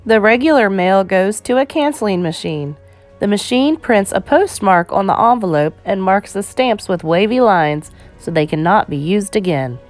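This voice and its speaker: A woman reading an educational children's picture book aloud in a measured, clearly phrased storytime delivery. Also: medium pitch, expressive, soft background music.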